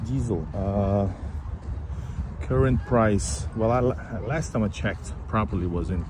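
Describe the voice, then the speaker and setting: A man's voice: lowish voice, takes it slowly. A man, a Russian speaker, casually chatting to viewers as he walks through city streets and a park. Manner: casual, rambling, chats with passersby and chat. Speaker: vlogger. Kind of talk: walking street vlog talking to viewers. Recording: loud street and traffic noise.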